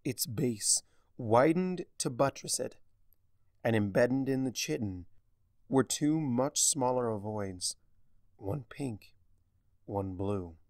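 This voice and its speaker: Guy reading a story dramatically, lots of pitch movement, some fry, really dry recording. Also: expressive, takes it slowly, long pauses, a little mellow, no room sound. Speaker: fan fiction narrator. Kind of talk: dramatic scripted story narration.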